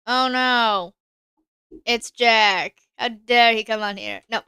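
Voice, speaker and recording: A woman's voice: on the high side, fairly even intonation, slow, pushed and bright, clean tone, no echo, studio quality. A woman streamer chatting casually while she plays a game, thinking aloud and answering her chat. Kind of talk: casual live-stream gameplay commentary.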